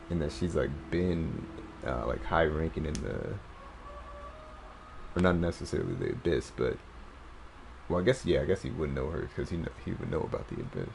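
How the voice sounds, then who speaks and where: A man's voice: sits low, gravelly, noisy background. A man giving casual live game commentary as a streamer, slangy and musing aloud.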